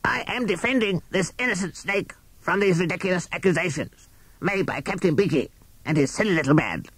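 Storybook crow barrister, rasping, haughty, formal, pitched up. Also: male voice, lively intonation, fairly quick, fairly bright tone, no room sound, exaggerated voice. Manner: haughty, formal legal speech.

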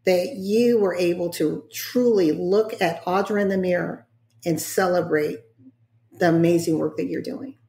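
A woman interviewing on a podcast in an affirming, deliberate way, stacking words in lists for emphasis, with an echoey sound. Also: lowish voice, creaky, warm tone. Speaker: guest interviewer.

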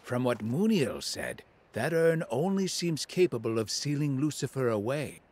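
An actor playing a small, kindly, loyal old demon in a video game, earnest and considerate. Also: male voice, medium pitch, sing-song, normal speed, a little mellow, husky. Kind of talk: cartoonish video game acting.

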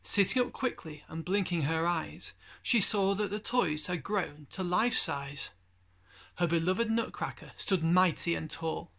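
Man, gravelly creak, bright edge, fairly high voice, storybook narration. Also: unhurried. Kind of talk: storybook reading for children. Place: indoors at home by a window.

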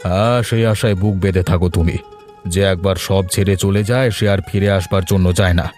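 A man's voice: deep voice, fairly even intonation, brisk, darkish tone, faint music behind, close to an everyday voice. A man voicing a grumbling older head of a village household in a cartoon. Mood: bitter. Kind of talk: cartoon voice acting.

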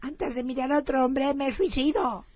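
Woman acting high-pitched, indignant immigrant tenement woman. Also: moderate pace, no room sound, lo-fi sound, lightly acted. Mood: scandalised. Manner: scolding, exclamatory. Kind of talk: comic stage radio play acting.

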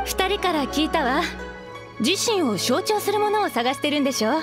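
Young craftswoman in an anime game, high voice, polite and composed, music behind. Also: lively intonation, moderate pace. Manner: polite, feminine, composed phrasing. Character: composed, courteous young craftswoman who makes knives. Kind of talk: Japanese anime game voice acting.

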